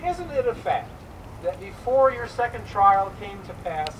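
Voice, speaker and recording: A man's voice: sits high, big pitch swings, measured pace, fairly bright tone, exaggerated voice. A man playing a stern, suspicious immigration interrogator of the 1910s, formal and probing, in a dramatic reading. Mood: accusing. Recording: distant microphone in noisy live room.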